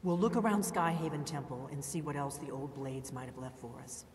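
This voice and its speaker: A woman's voice: low-pitched, fairly quick, a little mellow, natural voice. Woman acting a blunt warrior, distant in echoing hall. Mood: resigned, businesslike. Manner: curt, businesslike. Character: blunt, impatient female warrior, secretive and commanding. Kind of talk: video game character dialogue. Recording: distant, echoey hall with faint noise.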